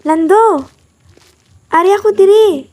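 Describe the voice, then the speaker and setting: A woman's voice: very high voice, measured pace, darkish tone. A young woman playing a lonely, lovesick enchanted spirit in an amateur radio drama. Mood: eager. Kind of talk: amateur radio drama acting in Filipino regional language.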